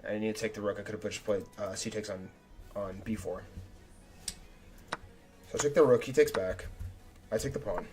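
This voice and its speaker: A young man, a chess streamer, talking casually and self-critically through his own game, his voice a little raspy. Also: lowish voice, fairly even intonation, moderate pace, pauses often. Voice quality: slightly raspy. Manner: chatty and self-critical, thinking aloud move by move. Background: faint background music.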